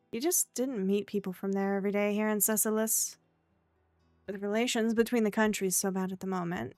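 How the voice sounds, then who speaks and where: A woman's voice: medium pitch, dry recording, natural voice. A woman voicing a guarded, awkward young woman who narrates her visual novel story in a subdued, wry manner.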